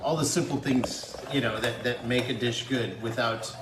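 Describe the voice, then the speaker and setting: A man's voice: mid-range voice, fairly even intonation, moderate pace. Middle-aged man chatting in a noisy, echoey kitchen. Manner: enthusiastic, talks food and wine plainly. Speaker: wine rep guest. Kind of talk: casual chat. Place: home kitchen. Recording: echoey kitchen with background noise.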